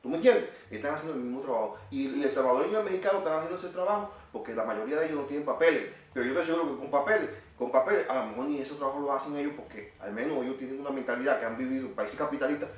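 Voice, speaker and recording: A man's voice: medium pitch, racing, hardly any pauses. A middle-aged man with a Cuban Spanish accent, ranting to camera in an indignant, scolding, repetitive way. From Cuba. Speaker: vlogger. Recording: echoey room, distant from mic.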